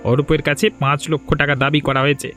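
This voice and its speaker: পুরুষ কণ্ঠে ষড়যন্ত্রী, ভয়ংকর কার্টুন ডাকাত. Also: male voice, fairly high pitch, level tone, quick pace, a bit bright, natural voice. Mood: scheming. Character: scheming, menacing male bandit and kidnapper. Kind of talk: Bengali cartoon voice acting. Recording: music bed under the voice. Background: background music.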